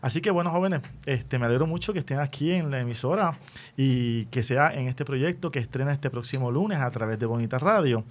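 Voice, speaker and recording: A man's voice: medium pitch, animated, fairly quick. Male radio host, Puerto Rican accent, mid-range voice, formal and welcoming presenter delivery. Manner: formal, welcoming address to listeners. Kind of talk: radio show introduction and interview.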